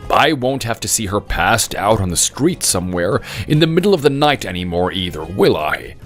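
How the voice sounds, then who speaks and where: Guy doing worn out dying old dad, resigned and bitter, drama dialogue, soft music behind. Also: fairly low pitch.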